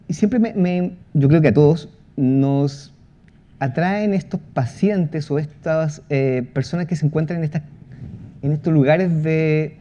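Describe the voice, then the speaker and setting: A man explaining a project in a talk, halting, with false starts and drawn-out pauses. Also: on the high side, warm tone. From Chile. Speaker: podcast creator giving a presentation. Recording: muffled audio with clear background noise.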